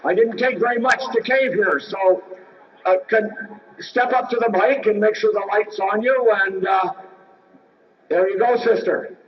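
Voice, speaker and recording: A man chairing a union convention, speaking in a brisk, informal way and holding firm against the floor. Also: high-pitched. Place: large convention hall. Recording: distant, dull hall audio.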